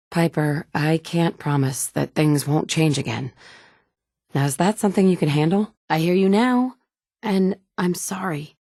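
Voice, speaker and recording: An actress playing a capable, dry-witted survivor and leader in a video game, speaking directly and matter-of-factly. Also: female voice, fairly low pitch, warm tone, no echo. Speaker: player character. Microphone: close studio mic.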